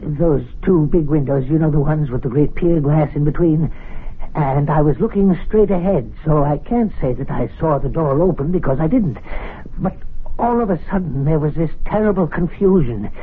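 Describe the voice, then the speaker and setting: An actor in a radio play voicing a flustered elderly club steward, hesitant, deferential and apologetic. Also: male voice, a little high, lively intonation, moderate pace, husky. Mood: bewildered, recounting. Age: older. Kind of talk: radio drama acting. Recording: narrow-band vintage radio recording.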